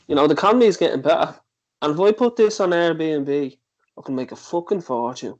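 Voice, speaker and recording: An actor playing a belittling, manipulative ex-partner with a condescending, needling manner in a drama table read. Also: male voice, fairly high pitch, moderate pace, muffled recording, natural voice. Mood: smug.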